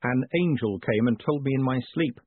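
A man's voice: mid-range voice, moderate pace, breathy edge, no echo, phone-quality sound, close to an everyday voice. A man voicing a confident, wry male postmaster in a storybook, speaking in an assured, placating way.

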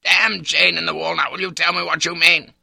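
A man playing a cynical, irascible old gentleman raconteur in an audiobook, pompous and wry, with an upper-class British accent. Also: low voice, very animated, moderate pace, creaky, no room sound, studio quality, full character voice. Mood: agitated, blustering. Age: older.

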